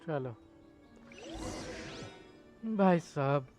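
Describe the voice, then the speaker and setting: A man's voice: on the high side, moderate pace, over music. A man giving casual game commentary, complaining in an exasperated way. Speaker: gaming streamer.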